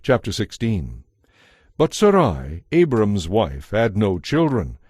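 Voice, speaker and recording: A man narrating scripture from a script as a solemn, measured storyteller. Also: on the low side, huge pitch swings, a little mellow, breathy edge, no room sound, clean studio sound.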